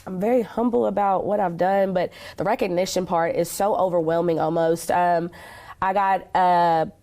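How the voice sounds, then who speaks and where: A woman with an American accent speaks in a slightly low-pitched voice as an interview guest. She is earnest and emphatic, answering conversationally with the conviction of a community advocate. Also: steady tone, normal speed, dark tone. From Kentucky, United States.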